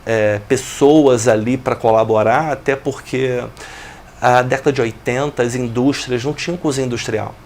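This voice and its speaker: A man in his forties from Brazil, a business owner talking through his career as an interview guest, in a steady, reflective way. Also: medium pitch, normal speed, a little hiss or hum. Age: 40s. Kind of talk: interview, telling his life story.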